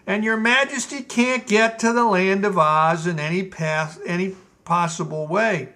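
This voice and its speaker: A man's voice: sits high, unhurried, bright, forceful tone, heavily acted. A man voicing a tired, patient male gnome steward who reasons with his king in a storybook reading.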